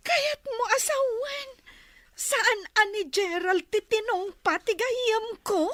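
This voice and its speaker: A woman's voice: very high voice, slow, a bit bright, exaggerated voice. An actress playing a frank, teasing young woman, a confidante, in a radio drama. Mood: teasing, surprised. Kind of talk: Ilocano radio drama acting.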